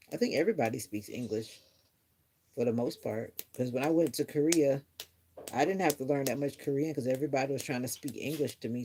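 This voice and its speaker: A woman's voice: a bass voice, dark tone, a little hiss or hum. A middle-aged woman chatting casually on a live stream, musing earnestly to her viewers in a reflective, rambling way. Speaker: livestreamer.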